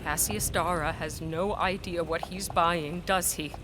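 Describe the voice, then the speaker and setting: A woman's voice: lowish voice, fairly even intonation, measured pace, background noise, lightly acted. An actress playing an earnest, outspoken woman scholar in a period audio drama, with formal diction and emotional intensity. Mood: indignant. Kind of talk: period audio drama acting and first-person narration.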